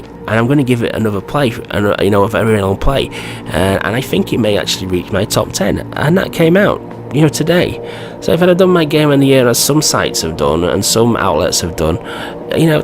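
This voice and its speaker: A man with a hoarse, croaky voice from a cold, giving rambling, opinionated commentary in a casual vlog. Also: mid-range voice. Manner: rambling, repeats "do you know what I mean". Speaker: gaming video creator.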